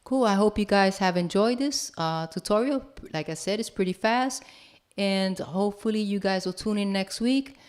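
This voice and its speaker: A woman's voice: mid-range voice, moderate pace, a little mellow, clean tone. A woman hosting a music production tutorial, talking to viewers in a casual, chatty, informal way. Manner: informal, chatty, addresses viewers as "you guys".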